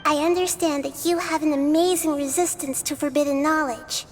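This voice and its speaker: A woman voicing a young, gentle goddess who speaks softly and reassuringly. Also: high-pitched, fairly even intonation, moderate pace, close to an everyday voice. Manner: soft, kind, reassuring. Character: young gentle female goddess, calm, thoughtful. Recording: game music behind.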